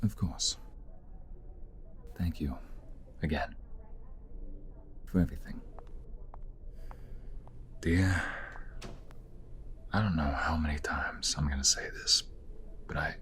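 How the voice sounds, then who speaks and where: A man's voice: a bass voice, slow pace, pauses often, fairly bright tone, a little hiss or hum, exaggerated voice. A man playing a devoted, protective mobster lover in an ASMR roleplay, pleading emotionally and intimately with the listener. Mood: hushed, tender relief.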